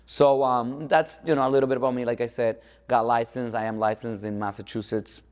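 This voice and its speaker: A man's voice: medium pitch, flat delivery, a little fast, darkish tone, smooth. A man from the Dominican Republic talking informally as an architect guest in an interview, often checking in with the listener. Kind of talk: conversational interview. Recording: echoey hall-like reverb.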